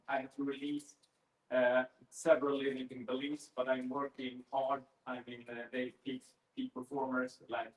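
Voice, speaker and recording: A man with a Swedish accent speaks at a middle pitch, earnest and determined. He introduces himself to a group and pauses often on fillers as he searches for words. His voice carries an echo, as if he is talking in a large room. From Sweden. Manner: determined, halting with many fillers. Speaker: workshop participant.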